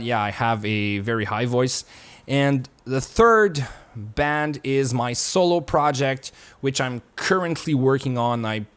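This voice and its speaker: Laid-back young man chatting to camera. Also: mid-range voice. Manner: relaxed and rambling, swears casually. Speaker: YouTuber. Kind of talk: casual chat to camera. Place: home studio.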